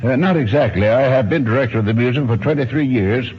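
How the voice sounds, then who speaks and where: A man's voice: mid-range voice, fast, husky. A man in his nineties giving formal, precise answers as an expert guest in a radio interview, with old-fashioned phrasing. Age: 90s. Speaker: interview guest, archaeologist and ethnologist. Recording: muffled, noisy 1950s radio broadcast recording.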